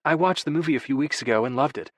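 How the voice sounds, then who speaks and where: A man voicing a tender, playful young wife with a soft, teasing, affectionate manner in audiobook dialogue. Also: on the high side, very fast, no room sound, voice pushed up, lightly acted. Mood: tender, earnest.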